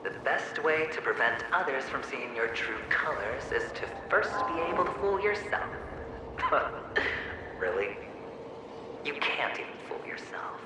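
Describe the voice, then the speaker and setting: A man's voice: medium pitch, expressive, a little slow, over loud music. An actor playing the mocking inner double of a young man, taunting and slipping into hissed whispers, his voice echoing and distant. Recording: heavy echo, distant, game ambience noise.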